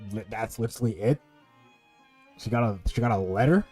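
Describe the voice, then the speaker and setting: Mellow man doing game commentary. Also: on the low side, a little fast, slightly rough, soft background music. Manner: sweary, slangy. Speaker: gaming streamer. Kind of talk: casual playthrough commentary.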